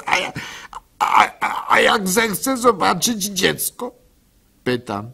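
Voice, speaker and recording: An actor playing a gullible, soft-hearted village baker who tells his story in a halting, plaintive way for a radio play. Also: sits high, big pitch swings, creaky, slightly rough, full character voice. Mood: sorrowful. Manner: halting, stammering, plaintive, swinging between hushed and loud. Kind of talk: dramatic first-person storytelling for a radio play.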